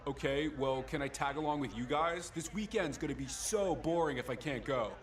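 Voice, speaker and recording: Brash higher-voiced man playing a teenage jock. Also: normal speed, close to an everyday voice. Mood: needy. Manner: brash, slangy. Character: crude, boastful teenage jock boy. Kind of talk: visual novel game voice acting.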